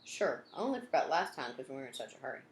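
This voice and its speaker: A woman's voice: rumbling low, sing-song, fast talker. A woman playing eager young boy sidekick. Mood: earnest. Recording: roomy echo, some background noise.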